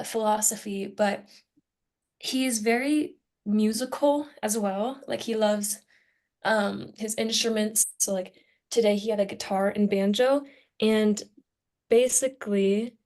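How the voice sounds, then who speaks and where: A woman's voice: medium pitch, darkish tone. A young woman in a casual group discussion, speaking informally and with enthusiasm as a student on a podcast. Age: young adult.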